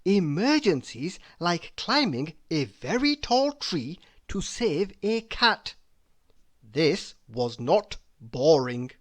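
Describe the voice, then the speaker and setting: A storybook reader narrating for children in a deliberate, expressive way, drawing out key words for emphasis. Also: mid-range voice.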